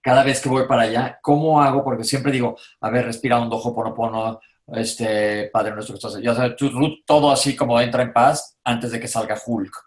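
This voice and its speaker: Colloquial Mexican man, mid-pitched co-host. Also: fairly even intonation, brisk, hardly any pauses. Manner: informal, colloquial, relatable. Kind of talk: casual conversational talk.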